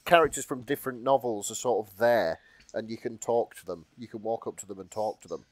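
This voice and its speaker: A man's voice: fairly low pitch, brisk. A man co-hosting a podcast, chatting casually and reacting to what he is told. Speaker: podcast co-host.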